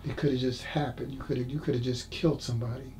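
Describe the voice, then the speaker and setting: A man's voice: medium pitch, fairly even intonation, fast talker, a little mellow, slight background noise. A man in his sixties interviewing an older man in casual conversation, asking prompting questions and laughing easily. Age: 60s.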